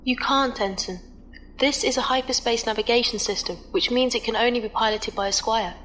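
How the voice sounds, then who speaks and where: A woman's voice: fairly high pitch, a little fast, fairly bright tone, natural voice. A woman acting a composed, precise, formal starship navigator in a sci-fi audio drama. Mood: calm formal. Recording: echoey reverberant hall sound.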